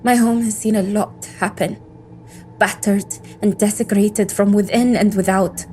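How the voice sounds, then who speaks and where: A woman's voice: mid-range voice, steady tone, measured pace, a little mellow, slight background noise, natural voice. A woman playing an embittered, defiant agent in an audio drama, with a world-weary, intense, sardonic delivery. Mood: weary, grieving. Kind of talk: scripted audio drama monologue and dialogue.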